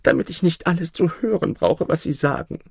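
Male narrator as a panicked dying old emperor, high-pitched and strained, quite a dry sound. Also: animated, measured pace, creaky, dark tone, slightly rough, dry recording, heavily acted. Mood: desperate pleading. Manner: high, strained, desperate cries.

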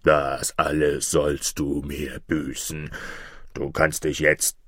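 A man playing a proud, threatening many-headed forest dragon in a fairy tale, drawing out his challenges slowly. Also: a bass voice, little pitch movement, a bit bright, no room sound, studio quality, voice pushed down, heavily acted. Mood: angry, threatening. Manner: slow, drawn-out, challenging.

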